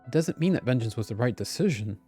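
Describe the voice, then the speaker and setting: A man's voice: medium pitch, animated, quick pace. A man giving casual, musing live commentary as he streams a game. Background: game music.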